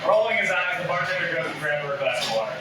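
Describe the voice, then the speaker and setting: A man's voice: on the high side, expressive, racing. A man reading out stage directions as scripted narration at a live table read. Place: live reading in an echoey room. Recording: echoey room, distant mic, background noise.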